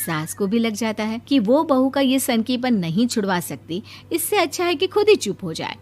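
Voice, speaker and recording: A woman narrating a cartoon story in a lively storytelling manner. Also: fairly high pitch, moderate pace.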